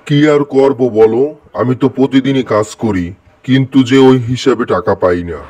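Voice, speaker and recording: A man's voice: medium pitch, unhurried, a little mellow, lightly acted. A man playing a poor, hardworking, resigned village husband in a cartoon fairy tale.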